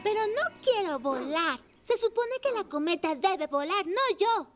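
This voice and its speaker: A performer voicing an earnest, childlike little talking motorbike in an animated children's show. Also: very high-pitched, a little slow, heavily acted. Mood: dismayed. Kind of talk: animated children's cartoon dub. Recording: children's music behind the voice.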